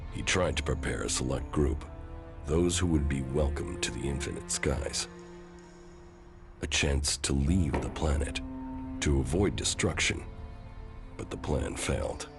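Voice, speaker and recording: Very deep, gravelly, grim sci-fi commander. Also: male voice. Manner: brooding, measured, solemn delivery. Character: grim, stoic male military commander in post-apocalyptic sci-fi. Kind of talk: dramatic video game acting and narration. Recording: music under the voice.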